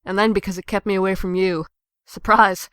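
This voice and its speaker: A woman's voice: mid-range voice, flat delivery, fairly quick, fairly bright tone, clean tone, dry recording, lightly acted. A woman playing a bitter, defiant fairy-tale sister in a comic dub, with a sarcastic, biting manner. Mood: confident, brisk. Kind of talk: dramatic comic dub acting.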